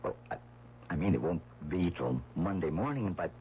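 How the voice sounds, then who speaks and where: An actor playing an earnest, nervous young painter in love in a 1970s radio drama, with a hesitant delivery. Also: male voice, sits low, animated, fairly quick. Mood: hushed eager. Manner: hesitant, stammering. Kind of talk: 1970s radio drama acting with first-person narration. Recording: tinny, noisy old radio broadcast.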